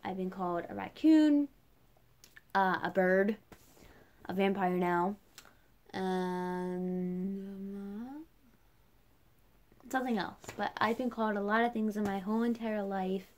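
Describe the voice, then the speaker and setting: A young woman talks as a casual vlogger, with an ordinary mid-pitched voice. Her delivery is chatty and rambling, leaning heavily on "like" as she works through a personal rant. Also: slow pace, pauses often. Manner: chatty, rambling, heavy use of "like".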